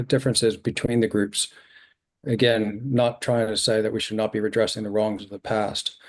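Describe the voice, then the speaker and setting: A middle-aged man giving an earnest, measured submission to a committee over a video call, with frequent ums and restarts. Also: on the low side, darkish tone. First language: not originally from New Zealand.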